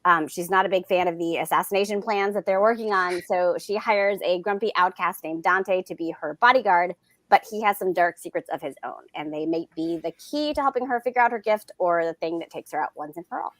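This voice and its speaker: A woman author on a panel, casually introducing herself and pitching her book. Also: a little fast, fairly bright tone, no room sound. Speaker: debut author, former teacher.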